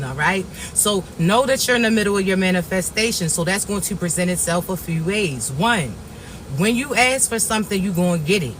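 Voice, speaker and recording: A raspy man with a high, bright voice gives a spiritual message to his viewers. He speaks slowly and reassuringly, repeating himself and checking in often. Faint noise sits under the recording. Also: low-quality recording. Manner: slow, repetitive, reassuring, often asks "all right?". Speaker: spiritual reader.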